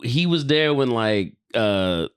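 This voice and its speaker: A man's voice: medium pitch, very animated, takes it slowly, clean tone. An American man chatting as a podcast co-host, joking in slangy, profane language. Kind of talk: casual group chat.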